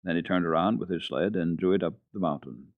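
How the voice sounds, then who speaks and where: A man giving an animated, dramatic reading of a children's book on old radio, close to the microphone. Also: low-pitched, brisk, dry recording. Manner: animated, expressive narration. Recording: dull lo-fi old radio recording.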